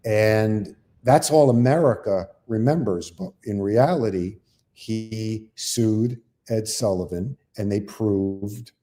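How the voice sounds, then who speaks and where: Older man with a low, mellow voice. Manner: rambling anecdotal storyteller, self-deprecating. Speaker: comedian co-host. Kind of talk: casual chat and storytelling.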